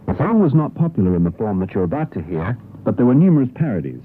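A man's voice: fairly low pitch, big pitch swings, fast. An Australian man presenting a radio programme, reading a scripted narration about folk songs. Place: radio broadcast. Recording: narrowband, noisy 1960s shortwave radio broadcast.

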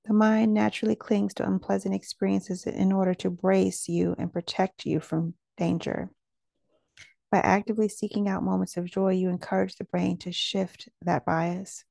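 A woman leads a guided meditation with a gentle, soothing delivery. Her medium-pitched voice is relaxed and warm, with a creaky edge. The sound is dry and close, with very little room in it. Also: dry recording.

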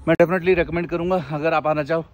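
A young man casually narrating a travel vlog outdoors while riding a motorbike, chatty and excited. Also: a little high, quick pace, no echo. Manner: chatty and excited, keeps saying "yaar". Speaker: travel vlogger. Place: outdoors, riding a motorbike through a mountain valley.

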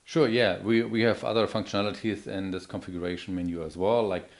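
Very deep-voiced man demonstrating a product. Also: fairly even intonation, a little fast. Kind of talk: live product demo.

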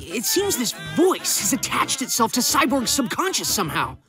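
An actor playing an earnest, excitable young superhero boy in a cartoon. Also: medium pitch, moderate pace. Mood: excited. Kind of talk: animated cartoon voice acting. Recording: loud music behind the voice.

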